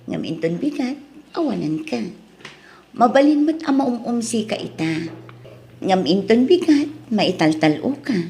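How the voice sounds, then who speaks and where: A woman's voice: fairly high pitch, very animated, unhurried, creaky, long pauses, warm tone, full character voice. A woman with an Ilocano accent acting a hurt but resolute, forgiving character in a radio drama. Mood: resolute, earnest.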